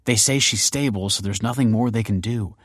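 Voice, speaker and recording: A man's voice: on the low side, a little fast, no room sound, clean studio sound, natural voice. A man voicing an alarmed, insistent woman in a narrated story, emphatic and pleading. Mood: pleading, worried. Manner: emphatic, pleading, stammering when frightened.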